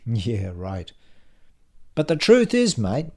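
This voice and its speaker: A man voicing a cocky, matey male office colleague in an audiobook, pushing his pitch with brash slang. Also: huge pitch swings, slow pace, dark tone, full character voice. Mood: brash, confiding.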